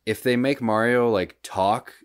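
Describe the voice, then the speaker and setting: A man's voice: mid-range voice, unhurried, clean tone, no room sound, studio quality. A man co-hosting a podcast, talking casually and bluntly with plenty of swearing. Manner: blunt, profane. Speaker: podcast co-host. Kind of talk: casual chat, opinionated film and game discussion.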